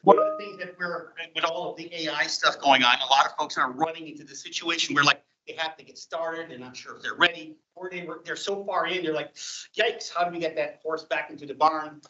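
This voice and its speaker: A man's voice: on the high side, quick pace, few pauses, bright, forceful tone. A middle-aged man co-hosting a meetup, talking informally to a group in a chatty, rambling, folksy way on a dull, muffled recording. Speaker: meetup co-host and presenter.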